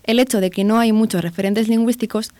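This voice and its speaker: A woman reporter with an accent from Spain reads her script quickly. The voice is soft-edged and dark in tone, at an average pitch, recorded dry. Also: steady tone, dry recording. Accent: Spanish from Spain. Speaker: radio reporter. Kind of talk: scripted news report narration.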